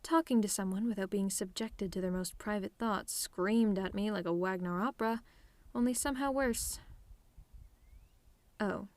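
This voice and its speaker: A woman playing a telepathic villainess in an ASMR roleplay, sardonic and theatrical, menacing with a tender streak, in deliberate phrasing. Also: medium pitch, dry recording, natural voice. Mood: intrigued, softening. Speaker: audio roleplay performer.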